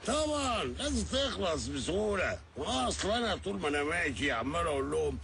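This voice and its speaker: An actor playing an excitable, bumbling family man abroad who shouts and pleads in an Egyptian accent. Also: on the high side, quick pace, vocal fry, a little hiss or hum, exaggerated voice. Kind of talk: radio comedy serial acting.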